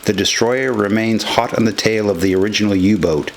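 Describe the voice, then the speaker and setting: A man's voice: lowish voice, brisk, slight background noise. A man reading scripted documentary narration as the narrator of a historical film. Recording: music under the voice. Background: music.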